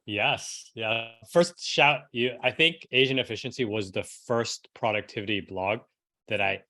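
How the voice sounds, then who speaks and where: A man's voice: mid-range voice, measured pace, bright, forceful tone. A middle-aged man from New York City telling his own story as a podcast guest in a casual, conversational way. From New York City, United States. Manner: casual, frequent "like" and rhetorical "right?".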